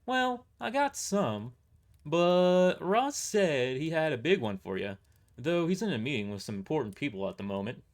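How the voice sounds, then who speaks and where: A man's voice: fairly high pitch, very animated, moderate pace, pitched above the performer's own voice. A man voicing a chatty, doting older female receptionist with a folksy rural twang in an audio drama. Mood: sweet, chatty. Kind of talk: amateur audio drama character voice.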